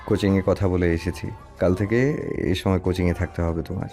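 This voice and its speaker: Man acting gentle husband, deep mellow voice. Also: fairly even intonation, brisk, faint music behind, a faint crowd. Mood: calm, instructing. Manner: gentle, instructing, quietly authoritative. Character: soft-spoken, protective, older husband instructing young wife. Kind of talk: Bengali audio story acting.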